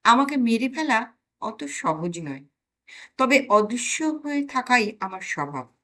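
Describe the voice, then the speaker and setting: A stunted, grimy little conscience from a comic audio story, played by a woman. It speaks slowly and rather high, argues stubbornly and lays blame with wounded self-pity. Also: sing-song, sharp tone, heavily acted. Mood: defiant. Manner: accusing, self-pitying, argumentative.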